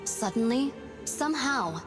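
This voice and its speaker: A woman voicing a solemn storyteller in a game, narrating a dark fairy tale in a measured, dramatic way. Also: sits high, animated, over loud music. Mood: ominous, solemn. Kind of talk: slow scripted storybook narration.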